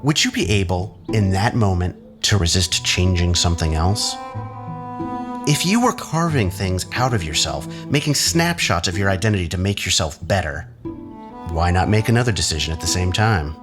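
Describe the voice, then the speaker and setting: A low-voiced, menacing man of science played by a male actor in an audio drama. His delivery swings widely, sardonic and taunting, as he probes with rhetorical questions. Music plays under him. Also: expressive, normal speed. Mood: probing, goading.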